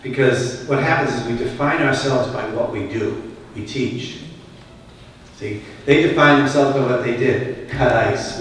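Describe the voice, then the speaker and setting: A man with a Boston accent gives a keynote to a conference crowd, speaking conversationally and with emphasis and often turning questions back on the listeners. His voice sits at a middle pitch. It is picked up from a distance in a big hall that leaves a long echo trail behind each phrase. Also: a little hiss or hum. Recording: echoey hall, voice from far off.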